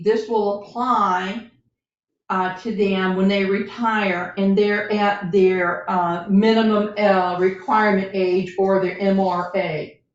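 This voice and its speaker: A woman speaks as an advisor on a podcast, explaining things point by point with a halting delivery. Her voice is mid-pitched. It comes from some distance off the microphone in an echoey room. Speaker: financial advisor guest on a podcast. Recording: roomy, distant, dull lo-fi microphone.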